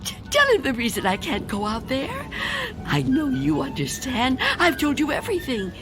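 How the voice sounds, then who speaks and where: A woman's voice: sits high, big pitch swings, moderate pace, music in the background. An actress playing a frightened older woman recluse in a radio drama, pleading and stammering with emotion. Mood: desperate pleading.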